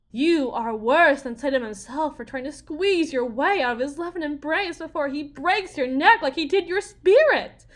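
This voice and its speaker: A woman playing a desperate, cunning battered young mother bargaining in a dramatic audio roleplay, halting, wry and theatrical. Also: sits high. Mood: bitter, anguished. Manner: halting, wry, theatrical, with repeated words and pauses.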